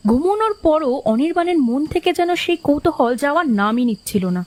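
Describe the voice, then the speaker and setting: A woman's voice: high-pitched, lively intonation, brisk, a little mellow. A woman giving scripted narration of a ghost story as its narrator.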